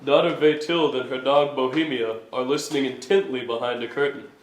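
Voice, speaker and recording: A man's voice: mid-range voice, steady tone, a little fast, slight background noise, close to an everyday voice. A man reading scripted stage directions at a live musical reading in an echoey hall. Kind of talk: scripted present-tense stage narration.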